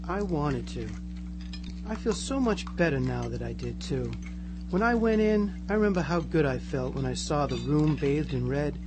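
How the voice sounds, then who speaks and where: Over clear noise and hum comes a man playing a teenage small-town boy in a live radio drama, blissfully converted and eerily placid. His highish pitch moves in wide, lively swings as he reassures with gushing earnestness. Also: moderate pace, lightly acted. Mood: serene, reassuring.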